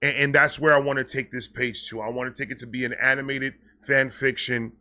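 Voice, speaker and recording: A man talking casually and off the cuff to his viewers, excitable and rambling, often repeating and stammering over words, with slangy, sweary phrasing. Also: mid-range voice, fairly quick. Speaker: online video creator and host. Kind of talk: casual unscripted vlog talk to viewers.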